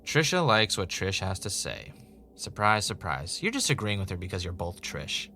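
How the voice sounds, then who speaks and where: A man's voice: sits low, big pitch swings, normal speed, bright, forceful tone, soft background music, heavily acted. A man playing an earnest, dogged podcaster investigating a mystery in a naturalistic audio drama, with a wry, self-dramatizing streak. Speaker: podcast host in a scripted drama.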